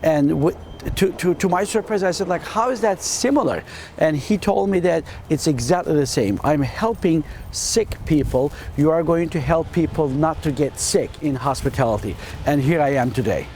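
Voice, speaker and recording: A man from Turkey with a Turkish accent, a hospitality dean answering quick interview questions earnestly and often repeating words as he searches for phrasing. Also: fairly high pitch, animated, a little mellow, slight background noise.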